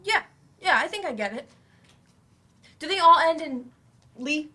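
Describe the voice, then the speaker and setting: Woman acting a blunt slangy grown-up student in a play reading, keen, high bright voice. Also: expressive, unhurried, exaggerated voice. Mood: puzzled. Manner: casual, slangy, questioning. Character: eager, blunt, informal adult remedial student. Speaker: actor in a staged play reading. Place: library staged reading.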